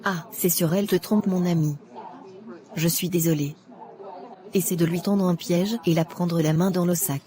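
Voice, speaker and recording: A woman playing a nosy, gossipy office colleague in an animated drama, confiding and familiar. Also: on the low side, moderate pace, lightly acted. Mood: concerned, knowing. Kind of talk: casual dialogue in an animated short. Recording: faint background noise.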